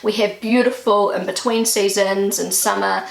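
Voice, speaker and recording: Gravelly New Zealand woman vlogging. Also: medium pitch, fairly even intonation, moderate pace. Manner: chatty and earnest, voice jumps up for emphasis. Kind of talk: casual opinion vlog to camera. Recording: echoey room.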